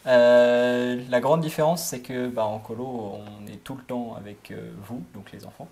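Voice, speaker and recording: A man's voice: mid-range voice, fairly even intonation, a little slow, warm tone, slight background noise. A man of twenty-one, a camp counselor, answering interview questions casually and hesitantly, with lots of filler sounds.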